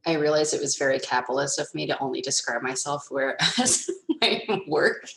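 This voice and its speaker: A woman in her thirties from the rural Midwest, speaking thoughtfully and haltingly as a panelist on a video call. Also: deep voice, vocal fry, a bit bright, reverberant. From Nebraska, USA. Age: 30s. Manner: thoughtful, halting, many fillers. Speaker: panelist and moderator. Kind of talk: reflective panel discussion.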